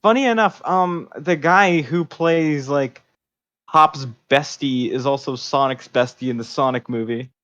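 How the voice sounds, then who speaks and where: A man's voice: a little high, a little slow, a bit bright. A man co-hosting a podcast in casual, informal chat with loose swearing, on a dull microphone. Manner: profane, informal. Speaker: podcast co-host.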